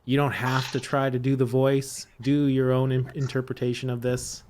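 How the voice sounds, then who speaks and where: Easygoing man, mid-pitched, faint noise. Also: level tone, moderate pace, a little mellow. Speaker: table read organizer. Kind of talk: casual explanatory chat.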